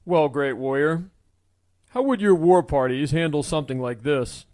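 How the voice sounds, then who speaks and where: A man's voice: a little high, animated, moderate pace, a little mellow, no room sound, studio quality, lightly acted. A man voicing a hearty, teasing adventurer in an audiobook, with a jovial manner. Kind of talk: audiobook character voice.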